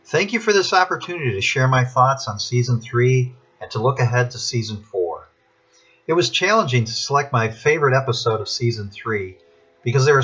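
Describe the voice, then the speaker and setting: A man's voice: mid-range voice, fairly bright tone, breathy edge. An American man giving prepared, scripted commentary as a listener contributing to a podcast. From Buffalo, New York, US. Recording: dull, lo-fi recording.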